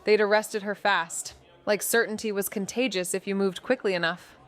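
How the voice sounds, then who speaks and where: A woman's voice: mid-range voice, fairly even intonation, moderate pace, soft background music, close to an everyday voice. An actress playing an observant, sardonic young woman sleuth, narrating a noir audio drama with clipped, dry wit. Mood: sardonic, measured. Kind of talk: scripted noir narration and dialogue.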